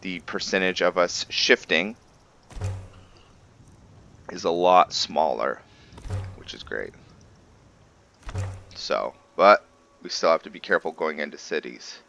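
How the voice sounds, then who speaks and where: A man's voice: low-pitched, takes it slowly, creaky, pauses often, sharp tone, lo-fi sound. A man hosting a video game roleplay, giving casual, informal commentary and explaining things as he plays.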